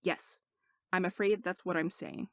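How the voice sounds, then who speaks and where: A woman's voice: fairly low pitch, fairly even intonation, a little slow, a bit bright, no echo. Woman reading as an arrogant, earnest male sorcerer knight. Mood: earnest, explaining. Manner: formal, lecturing.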